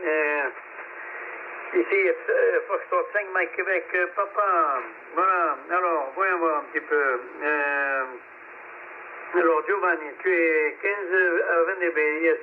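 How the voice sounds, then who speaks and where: A man checking into an amateur radio net, speaking in a relaxed, hesitant way and searching for words. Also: a little high, slow. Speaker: ham radio operator checking in. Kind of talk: ham radio net chat. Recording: tinny shortwave radio with static.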